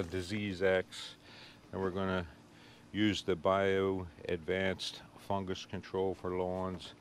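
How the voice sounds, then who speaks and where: A man's voice: sits low, a little hiss or hum. A man with a Philadelphia accent, talking casually to camera as host of a home and lawn care show, plain-spoken and easygoing as he explains the job.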